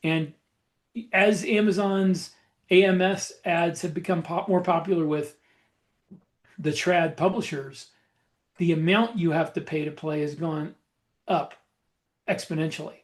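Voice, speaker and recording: Man with a high-pitched voice, talking shop. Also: steady tone, a little slow, pauses often. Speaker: podcast co-host and author. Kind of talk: casual podcast conversation.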